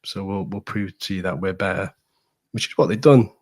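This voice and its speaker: A man's voice: low-pitched, a little fast, darkish tone. A man chatting casually and with strong opinions as a football podcast guest, emphatic and repeating phrases to drive points home.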